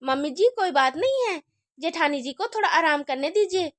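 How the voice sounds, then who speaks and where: A woman's voice: very high voice, lively intonation, moderate pace, no echo, clean studio sound. A woman playing a polite, helpful young daughter-in-law who is pregnant, in a homemade family drama skit.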